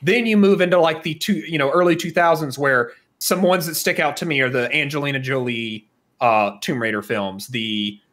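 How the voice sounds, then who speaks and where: A man's voice: medium pitch, moderate pace, fairly bright tone. A man co-hosting a podcast, talking casually and thinking aloud in a rambling, conversational way. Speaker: podcast co-host. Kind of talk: casual discussion of films and games.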